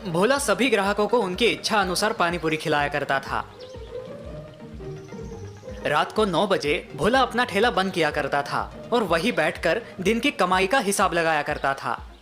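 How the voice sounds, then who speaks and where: A woman's voice: on the low side, animated, moderate pace, fairly bright tone, soft background music. A woman giving scripted narration of a children's moral story.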